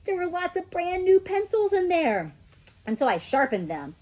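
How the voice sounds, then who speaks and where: A woman reading a children's storybook as an excitable, mischievous little girl who chats emphatically about her own adventures. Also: very high-pitched, moderate pace, pitched above the performer's own voice. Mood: excited. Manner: childlike, emphatic, chatty storytelling with kid grammar. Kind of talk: children's storybook read-aloud.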